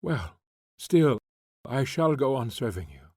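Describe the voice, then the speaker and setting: A male reader voicing a remorseful gentleman prince who pleads earnestly for forgiveness. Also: mid-range voice, very animated, slow, slightly rough, no room sound, clean studio sound, exaggerated voice. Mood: pleading.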